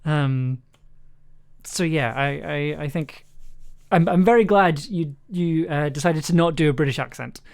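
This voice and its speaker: A woman's voice: low voice, unhurried, darkish tone. A woman chatting casually and thinking aloud on a livestream while editing audio. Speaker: audio drama producer and streamer. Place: indoors at a computer, livestream.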